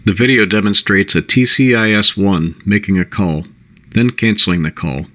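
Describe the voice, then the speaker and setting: Male presenter, low register, for scripted product overview; measured, instructional read. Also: fairly bright tone. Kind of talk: scripted corporate narration.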